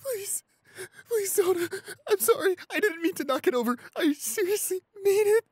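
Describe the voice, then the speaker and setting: A man playing a shy, anxious, apologetic young boyfriend in an audio roleplay, halting and repeating himself in a breathy, husky voice. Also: very high voice, animated, no echo, clean studio sound. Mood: panicked, tearful pleading. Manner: halting, stammering, repeating pleas. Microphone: close studio microphone, dry.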